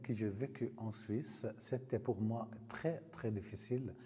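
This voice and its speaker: Slow, husky older man. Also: on the low side, mellow. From Palestine. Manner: slow, searching for words with frequent pauses. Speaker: interviewee. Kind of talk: personal life story. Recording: reverberant room.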